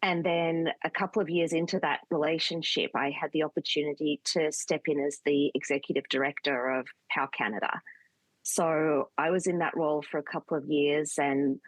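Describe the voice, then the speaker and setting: This is an Australian woman in her forties with a notably low voice, speaking as a guest on an interview show. She talks in an eager, earnest way with easy fillers, and the sound is close and dry with no room around it. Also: steady tone, moderate pace, dry recording, poor audio. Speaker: guest, communications consultant. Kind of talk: casual interview chat.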